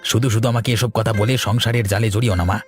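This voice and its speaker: A man's voice: fairly low pitch, fairly even intonation, quick pace, over music, natural voice. A man voicing a stubborn, idle grown village son in a cartoon, argumentative and self-important. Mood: stubborn, lofty. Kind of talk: cartoon voice acting.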